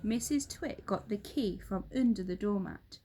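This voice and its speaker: A woman reading a children's story aloud expressively, with dramatic, deliberate phrasing. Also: mid-range voice, mellow, slightly rough.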